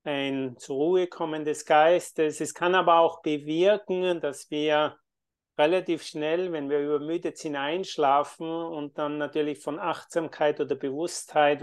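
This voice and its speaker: A man who teaches meditation and yoga, answering interview questions in a calm, thoughtful way with frequent fillers. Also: on the high side, measured pace, smooth. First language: German.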